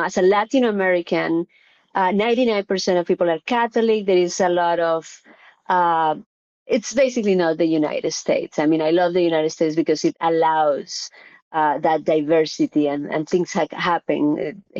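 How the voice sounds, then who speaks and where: A middle-aged woman with a Colombian accent answering interview questions, pausing often with fillers and restarts. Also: lowish voice, a little mellow, highs cut off. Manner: hesitant, frequent "uh" fillers and restarts. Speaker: surgeon, interview guest.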